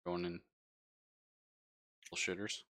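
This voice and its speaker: Bright-voiced man running a tabletop game. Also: deep voice, flat delivery. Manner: informal, halting, many likes and pauses. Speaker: game master. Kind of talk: casual narration of a live tabletop game.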